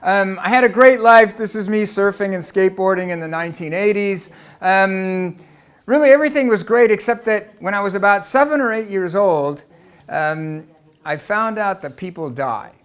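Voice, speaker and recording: High-voiced American man giving a talk. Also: normal speed, a bit bright, smooth. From Los Angeles, United States. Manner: rhetorical questions, conversational and explanatory. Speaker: lecturer. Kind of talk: presentation talk to an audience.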